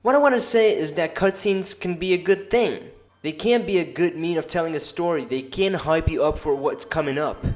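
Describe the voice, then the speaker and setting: Casual higher-voiced man over music. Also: normal speed, hardly any pauses. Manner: informal, sweary, mock-playful asides. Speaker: video essay narrator. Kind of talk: casual opinion commentary over video. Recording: music under the voice. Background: background music.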